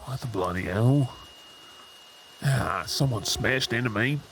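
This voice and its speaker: A man's voice: mid-range voice, slow pace, fairly bright tone, a little hiss or hum. A man with an Australian accent playing an injured, protective crash survivor in a dramatic audio roleplay, urgent, breathless and reassuring. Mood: dazed, pained.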